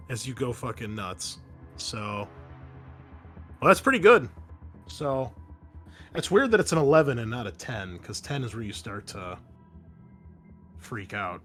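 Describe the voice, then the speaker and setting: A man's voice: mid-range voice, big pitch swings, measured pace, long pauses, fairly bright tone, faint music behind. Man game master running a tabletop game. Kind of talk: tabletop game narration and rules talk.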